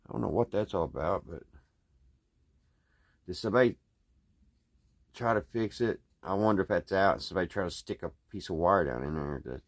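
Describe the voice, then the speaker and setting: Guy, folksy, just thinking out loud while he tinkers at the bench. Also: low-pitched. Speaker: hobbyist radio repairer, video host. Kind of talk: casual unscripted hands-on repair vlog. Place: indoors at a workbench.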